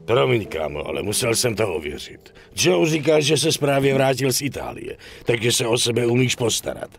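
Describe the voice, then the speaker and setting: Crime game union boss, middle-aged: cynical, blunt, creaky low end, music underneath. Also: male voice, sing-song. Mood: persuasive, slick. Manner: bossy, blunt, swearing, talks down to others. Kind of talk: dubbed crime game cutscene acting.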